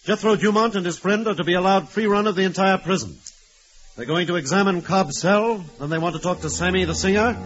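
A firm, authoritative warden with a fairly high voice. He speaks crisply and commandingly, in vintage radio drama style. The recording is old and muffled. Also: brisk, fairly bright tone.

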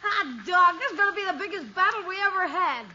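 A woman's voice: very high-pitched, animated, a little fast, bright, forceful tone, heavily acted. A performer playing a cheeky, eager young boy, wisecracking and slangy, in a 1950s radio comedy. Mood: gleeful. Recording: muffled, noisy 1950s radio recording.

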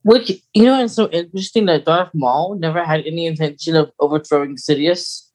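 A man with a voice pitched a little high chats as a podcast co-host. He muses out loud in a casual, tentative way, working through fan lore as he speaks. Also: brisk.

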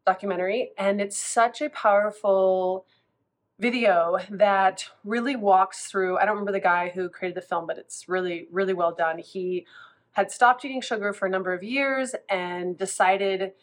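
A woman's voice: mid-range voice, normal speed. A woman in her thirties, a food coach, chatting casually in a vlog, a bit congested from a cold and rambling with restarts.

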